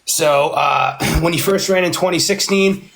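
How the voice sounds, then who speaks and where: Hoarse man in his thirties. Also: on the high side, measured pace, fairly bright tone, low-quality recording. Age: 30s. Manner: earnest, with hesitant fillers. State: hoarse, recovering from illness. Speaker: call-in guest. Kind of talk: casual chat.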